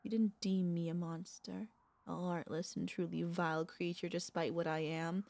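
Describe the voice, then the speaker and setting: A woman voicing a centuries-old vampire mistress, a tender, possessive girlfriend, speaking softly and intimately with courtly wording and hesitant pauses in an ASMR roleplay. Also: on the low side, level tone, creaky, no room sound. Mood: wistful, tender. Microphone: close studio microphone, dry.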